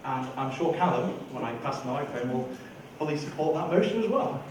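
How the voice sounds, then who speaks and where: A man's voice: mid-range voice, expressive, fairly quick. A man speaking as a council candidate in a debate, emphatic and given to repeating words. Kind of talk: debate speech. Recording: echoey hall, far from mic, faint noise.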